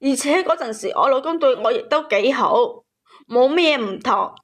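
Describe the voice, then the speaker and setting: A high-pitched woman tells her own story as a caller. She speaks emphatically and repeats phrases as she goes. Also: expressive, normal speed. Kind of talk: emotional personal storytelling to a host.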